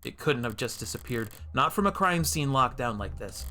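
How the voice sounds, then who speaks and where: A man's voice: mid-range voice, lively intonation, moderate pace, sharp tone, slight background noise. A man voicing a cynical, cold-blooded female defense attorney in a dry, understated way for a game. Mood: cool, dry.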